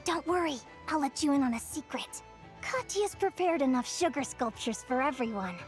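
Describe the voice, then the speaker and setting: A woman's voice: sits high, animated, normal speed, soft background music. A woman voicing a cheerful young naturalist in a video game, lecturing to children in an encouraging way. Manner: bright, encouraging, teacherly.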